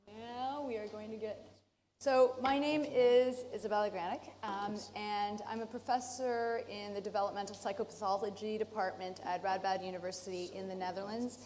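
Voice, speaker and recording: A woman from Canada with a Canadian accent giving a conference talk as a professor, relaxed and self-deprecating, with many fillers. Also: mid-range voice, moderate pace. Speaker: professor, speaker. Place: conference hall. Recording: reverberant hall, faint noise.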